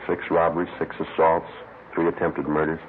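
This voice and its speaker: American police sergeant, bottom-register voice, clipped and businesslike, vintage radio drama with a tinny, narrow sound. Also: male voice, extremely low pitch, normal speed. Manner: clipped, flat, matter-of-fact. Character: male police detective sergeant, terse, dry, businesslike. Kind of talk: 1950s radio crime drama acting and narration. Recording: noisy, thin old radio recording.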